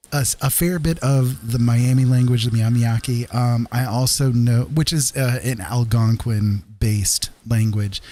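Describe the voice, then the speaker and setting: A man's voice: mid-range voice, dark tone. An American man hosting a livestream, chatting casually and reassuringly to viewers in a friendly, rambling way. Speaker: live streamer host.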